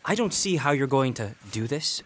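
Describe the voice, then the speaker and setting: An actor playing a sarcastic, gruff young male sailor in a period audio drama, teasing and begrudging. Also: medium pitch, animated, moderate pace, a bit bright, a little hiss or hum, lightly acted. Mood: skeptical, grudging.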